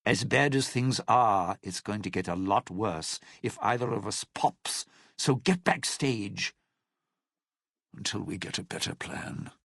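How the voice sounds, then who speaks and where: Gravelly, scheming old showman. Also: on the low side, lively intonation, measured pace, fairly bright tone, no room sound, studio quality, heavily acted. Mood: urgent, wary. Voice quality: gravelly, raspy. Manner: theatrical, wry, sardonic showman delivery. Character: scheming, theatrical, bitter old lounge singer. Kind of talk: video game character acting.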